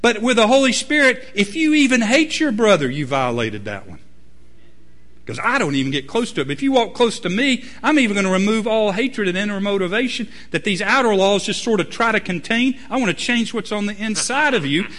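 A man preaching a sermon, swinging between loud emphatic peaks and low quiet asides. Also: very animated, fairly quick. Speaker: pastor.

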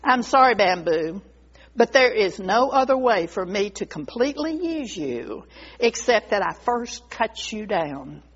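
A woman voicing a firm, insistent male garden master in a storybook tale, with a deliberate manner. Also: mid-range voice, lively intonation, breathy edge. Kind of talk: storybook character voice. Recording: dull lo-fi recording.

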